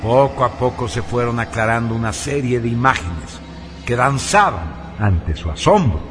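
A man narrating a scripted radio serial in a solemn, theatrical and deliberate way. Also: medium pitch, expressive. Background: music.

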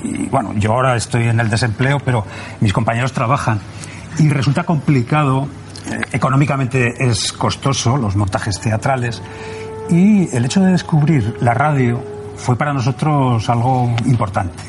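A man's voice: mid-range voice, moderate pace, over music. A man with an accent from Spain, an amateur radio actor answering interview questions in a conversational way.

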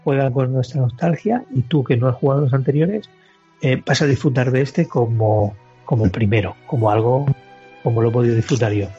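Male co-host, Spain accent, very husky, informal and sweary, slightly dull recording. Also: medium pitch, fairly quick, warm tone. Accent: Spanish (Spain). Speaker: podcast co-host. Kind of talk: casual video game chat. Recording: muffled lo-fi podcast, music underneath.

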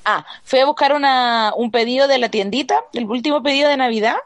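A woman's voice: high voice, fairly quick, no echo, muffled recording. A young Chilean woman co-hosting a podcast, chatting casually and ranting expressively in heavy slang with a Chilean accent. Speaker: podcast co-host. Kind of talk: casual chat.

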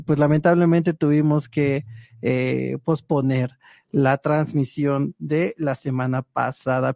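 A man's voice: on the high side, creaky, dark tone, no room sound, studio quality. A man hosting a casual live stream, chatty and teasing, dropping Japanese words into his talk.

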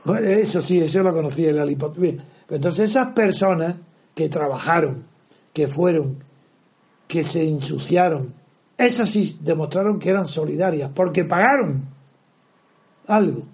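An older man with a high yet warm voice holds forth on the radio. He lectures emphatically, hammering each point home. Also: moderate pace. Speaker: radio commentator. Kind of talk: opinionated radio talk.

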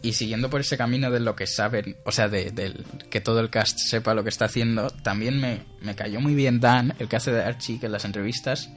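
A man's voice: mid-range voice, fairly even intonation, a little fast, hardly any pauses, soft background music. A young man, co-hosting a casual podcast chat, rambling with many restarts. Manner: rambling, with frequent restarts and laughter. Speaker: podcast co-host.

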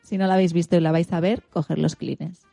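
A woman's voice: fairly low pitch, steady tone, a little fast, creaky, mellow, no echo, soft background music. A woman with an accent from Spain presenting a scripted radio segment.

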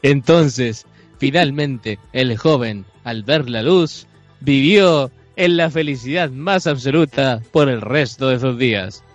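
A Chilean man improvising a mock-solemn comic story as narrator, pausing between short phrases. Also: mid-range voice, dull audio, faint music behind. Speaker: narrator in an improv comedy show.

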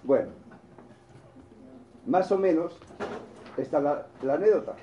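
A man's voice: fairly high pitch, unhurried, dark tone, echoey room, dull audio, slight background noise. A man with a Spanish accent from Spain, preaching a sermon in a storytelling way, with restarts and asides to the congregation.

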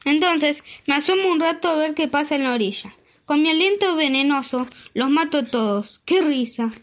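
A woman with an Argentine accent and a very high voice voicing a venomous, gleeful lake monster in a rhymed storybook reading. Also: lively intonation, moderate pace. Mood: wicked glee. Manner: reciting rhymed verse.

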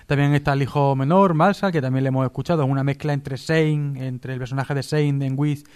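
A man's voice: medium pitch, steady tone, brisk, darkish tone, clean tone. A man with a Spain accent casually reviewing a TV series as a radio presenter.

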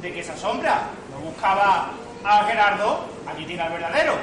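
A man's voice: high-pitched, animated, normal speed. A man in an amateur stage farce playing an indignant, blustering father with a declamatory delivery, recorded in an echoey hall. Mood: exasperated. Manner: declamatory, theatrical. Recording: echoey hall from far off, noisy audience.

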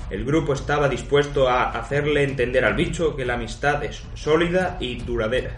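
A man's voice: mid-range voice, fairly quick. A man narrating a fantasy radio drama as game master in a dramatic, scripted style. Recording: echoey room with music behind.

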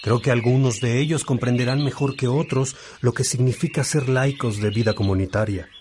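An actor playing a fatherly, unhurried priest teaching his young brothers in a radio drama, with birdsong behind him. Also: medium pitch, steady tone, a little mellow, a little hiss or hum, close to an everyday voice. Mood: fatherly, content. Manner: fatherly, unhurried, addressing followers affectionately.

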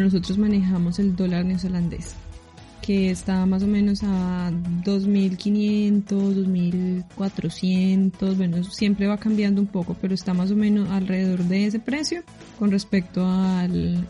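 Colombian woman chatting about life abroad, warm mellow tone, laughs a lot. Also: medium pitch, flat delivery, moderate pace, muffled recording, faint music behind. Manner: chatty, laughing, many restarts. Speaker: guest living abroad. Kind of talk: casual interview answers.

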